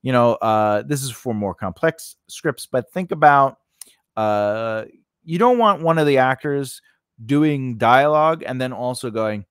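A man's voice: mid-range voice, expressive, measured pace, a bit bright, smooth, no echo. Casual man teaching, close dry sound. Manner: casual, conversational, peppered with "you know" and "all right". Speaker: screenwriting instructor. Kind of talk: informal instructional lesson, talking through an outline.

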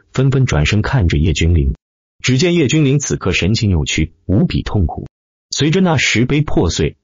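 Male audiobook narrator, animated dramatic delivery, lowish voice, close dry sound with dulled top end. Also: slightly rough, no echo, muffled recording. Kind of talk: scripted dramatic narration of fantasy web novel.

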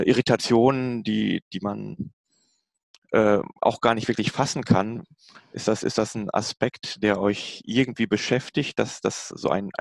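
A man's voice: fairly low pitch, creaky, no room sound. A man moderating a panel discussion over a video call, asking long questions with many fillers and restarts.

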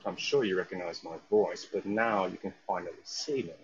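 A man's voice: deep voice, a little fast, slight background noise. A man voicing an earnest father in a science fiction script at a table read. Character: earnest father recording a message for his child. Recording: dull online voice-chat audio.

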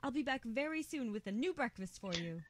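An actress voices a caring young woman from a visual novel. Her pitch is high and moves around a lot, and she coaxes gently in a close, dry recording. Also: expressive, brisk, no room sound. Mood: reassuring.

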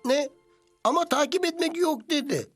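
A woman's voice: high voice, normal speed, lightly acted. A woman voicing a small, timid, fretful sidekick in a cartoon dub. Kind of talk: cartoon dubbing. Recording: loud music over the voice.

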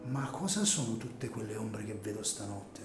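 A man's voice: fairly low pitch, lively intonation, normal speed, echoey room, music in the background, natural voice. A man playing an anxious, scrupulous cemetery caretaker in an Italian radio drama, agitated and hesitant. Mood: uneasy, brooding. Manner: agitated, hesitant, repeats words.

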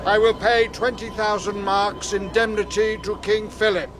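An actor playing an old, embittered, defeated English king in a historical radio drama, proud and solemn in halting phrases. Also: sits high, little pitch movement, background noise, natural voice. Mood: grudging, solemn. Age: older.

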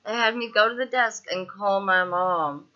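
A woman's voice: medium pitch, moderate pace, dull audio. A woman in her twenties with a Southern US twang, venting in a casual video diary with lots of fillers and restarts, recorded indoors on a laptop webcam. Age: 20s. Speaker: vlogger. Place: small indoor room, seated on a couch.

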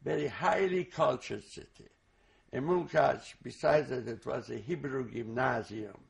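Older man, Hungarian native, testimony interviewee; gravelly, slow, halting with restarts. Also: mid-range voice. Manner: halting, many restarts and fillers; slow, reflective recall. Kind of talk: oral history testimony.

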